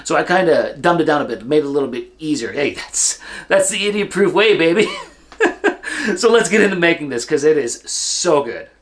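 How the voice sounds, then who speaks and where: A young man hosting his cooking channel, his voice hoarse and stuffed up, walking through a recipe in a laid-back, joking way and swearing now and then. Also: fairly high pitch, lively intonation, brisk. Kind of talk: casual recipe walkthrough.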